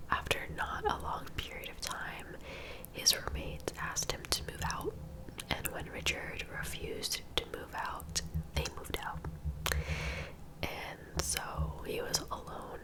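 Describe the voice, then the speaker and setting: Slow whispering woman, ASMR storyteller. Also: poor audio. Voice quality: breathy whisper. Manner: soft, unhurried, informal. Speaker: ASMR host narrating true crime.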